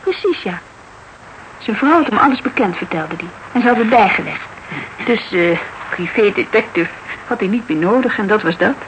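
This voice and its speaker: A woman's voice: mid-range voice, animated, moderate pace, lightly acted. An actress in a Dutch radio drama playing an earnest, eager young woman client, on a dull, noisy recording. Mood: earnest, confiding.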